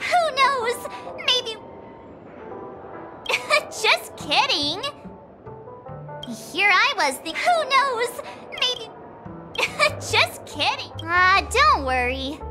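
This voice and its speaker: A woman playing a bubbly, excitable, theatrical teenage girl adventurer in a video game. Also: very high-pitched, heavily acted. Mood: teasing, upbeat. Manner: chirpy, theatrical, chatty. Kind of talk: animated video game voice acting. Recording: game music behind the voice.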